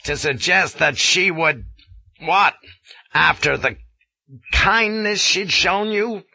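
A man voicing a gruff, irascible colonel with a rasping, indignant bark. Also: high voice, lively intonation, unhurried, pushed and bright, dry recording, voice pushed up, heavily acted. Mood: angry, scolding. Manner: barking, indignant, blustering. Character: gruff irascible American colonel, grizzled veteran.

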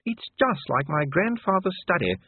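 A man voicing a genteel high-voiced lady. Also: sing-song, moderate pace, slightly rough, no room sound. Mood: fond. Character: aristocratic middle-aged lady, kindly and proper. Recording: tinny, phone-like, dry.

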